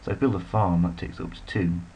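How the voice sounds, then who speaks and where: A man's voice: deep voice, steady tone, quick pace, creaky, mellow, highs cut off, slight background noise. A man giving rambling, casual commentary while playing a strategy game, full of self-deprecating asides. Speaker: let's play commentator.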